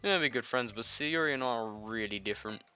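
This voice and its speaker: A man's voice: medium pitch, fast, pushed and bright, smooth. A man with a cold doing a casual, jokey let's-play, reading visual novel dialogue aloud with his own asides. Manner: jokey, giggly, informal. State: congested with a nasty cold, coughing. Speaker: video game let's-player. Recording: dull lo-fi mic with music behind. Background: game music.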